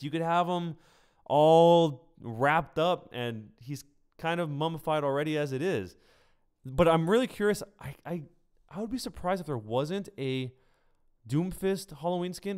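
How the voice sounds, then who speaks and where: A man's voice: fairly high pitch, a little mellow, clean tone. A man giving casual livestream gaming commentary, speaking conversationally and directly to his viewers. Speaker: streamer and gaming news host.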